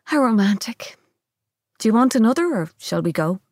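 A woman's voice: fairly high pitch, very animated, normal speed, a little mellow, no room sound, studio quality. Woman reading as a playful, wry young woman. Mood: playful, teasing. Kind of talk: audiobook-style novel reading.

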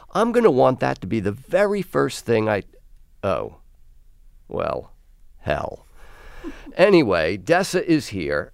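Smooth, animated American male radio host. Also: mid-range voice, dry recording, studio quality. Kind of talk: conversational radio hosting.

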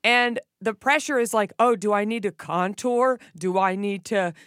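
A woman's voice: medium pitch, normal speed, a bit bright, clear, resonant tone, no echo. A woman with a Texan accent, a guest on a studio podcast, chatting in a brash, breezy, playful way. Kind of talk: casual chat.

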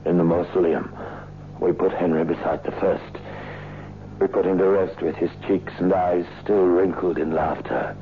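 An actor playing a tormented, confused killer in a 1960s radio horror drama, speaking in a halting, brooding way. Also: male voice, deep voice, flat delivery. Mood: troubled, hesitant. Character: tormented, confused male killer, easily led. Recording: noisy, muffled 1960s radio recording.

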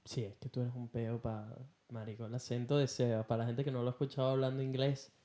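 Male podcast co-host, Venezuelan accent, medium pitch, informal jokey storyteller with plenty of slang and quoted dialogue. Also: little pitch movement, fast talker, warm tone. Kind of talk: casual anecdote-telling chat.

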